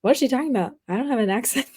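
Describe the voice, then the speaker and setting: A woman's voice: fairly high pitch, quick pace, a little mellow, slightly rough. A young woman guest chatting casually in an interview, rambling with frequent laughs. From United States, raised partly in France. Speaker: interview guest, graphic artist.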